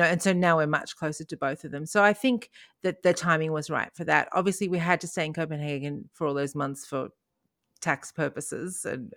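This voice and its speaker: A middle-aged woman talks in a relaxed, meandering way, restarting her sentences and laughing often. Her voice sits low for a woman, and the recording is close and dry. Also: no echo. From Australia. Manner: rambling, frequent restarts and laughs. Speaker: podcast co-host. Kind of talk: casual chat.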